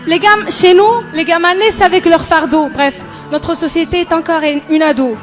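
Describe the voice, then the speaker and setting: A woman's voice: extremely high pitch, steady tone, moderate pace. A young woman performs spoken-word poetry in an impassioned, emphatic, rhythmic delivery. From Morocco. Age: young adult. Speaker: student poet. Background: background music.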